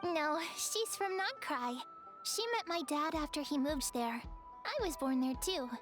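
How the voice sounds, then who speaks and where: A woman voicing a gentle, earnest, unsure little girl in a video game. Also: sits high, expressive, a little slow, music in the background. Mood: wistful, earnest.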